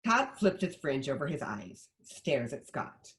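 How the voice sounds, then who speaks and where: A woman narrates a screenplay's action lines. Her voice is low, and she moves through a wide, lively range of pitch as she reads. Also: unhurried. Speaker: stage-direction narrator.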